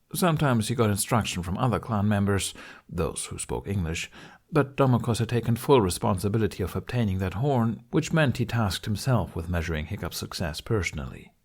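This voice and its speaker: A man with a fairly low voice narrates a fantasy audiobook. He reads scripted prose as a storyteller.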